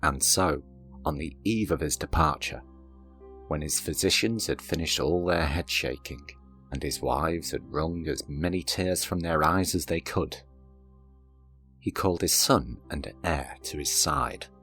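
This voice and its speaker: Very deep-voiced male storyteller. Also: measured pace, a bit bright. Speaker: storyteller, narrator. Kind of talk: scripted fairy-tale narration over music. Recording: narration over background music.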